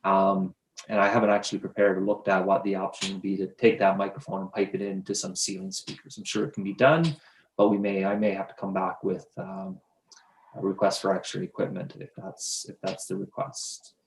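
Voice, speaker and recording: A man's voice: low voice, steady tone, fairly quick, reverberant, low-quality recording. A man on staff presenting options at a council meeting, explaining carefully with frequent restarts and hedges. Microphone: video conference mic.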